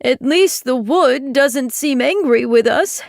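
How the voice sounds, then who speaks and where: A woman's voice: high voice, expressive, slow pace, gravelly, dry recording, clean studio sound, voice pushed up, heavily acted. A woman voicing a weary, worn-out uncle, tired and grim in manner.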